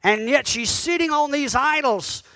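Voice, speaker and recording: A man's voice: very high-pitched, lively intonation, unhurried, bright, forceful tone, rough recording. A male pastor giving a sermon in a conversational style, calling out to his congregation and asking them pointed questions. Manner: conversational preaching that calls out to the congregation; asks the listeners pointed questions. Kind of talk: sermon teaching.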